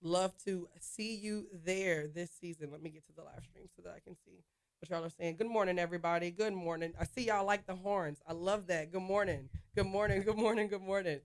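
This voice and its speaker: A woman hosting a sports talk show solo, chatting casually with listeners. She calls them y'all, and her voice is slightly hoarse. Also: on the low side, brisk.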